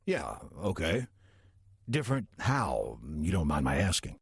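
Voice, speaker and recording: Man playing ancient demon in old usher's guise, very low gravelly voice, American accent, sardonic, swinging intonation, horror radio play. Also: wildly swooping pitch, full character voice. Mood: mild, folksy. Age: older. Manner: wry, sardonic, deliberate storytelling. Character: sly ancient demon posing as respected old church usher. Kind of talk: audio drama first-person narration.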